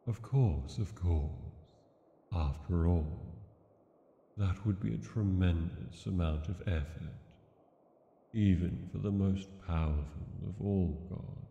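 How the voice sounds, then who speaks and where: A man acts out a courtly, sly dragon king for a fantasy audio drama. The voice is low and gravelly, speaking slowly with teasing, silky humility. It rings in a long, cavernous reverb. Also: dark tone, lo-fi sound, exaggerated voice. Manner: slow, courtly, teasing, falsely humble. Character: smooth, scheming, courtly male dragon king lover. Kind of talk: fantasy romance audio roleplay acting.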